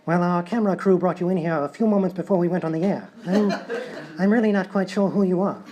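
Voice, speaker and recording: A man playing a genteel, muddled English talk show host in live sketch comedy, politely formal and deadpan. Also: high voice, fast talker, crowd noise, natural voice. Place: live stage show at a hotel.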